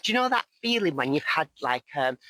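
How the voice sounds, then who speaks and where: A woman vlogging as she hikes down a mountain, chatting in a rambling, jokey, self-mocking way, her voice hoarse and croaky. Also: low voice, big pitch swings, brisk, a bit bright, no echo. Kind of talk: casual chat to camera while hiking. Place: outdoors on a mountain path.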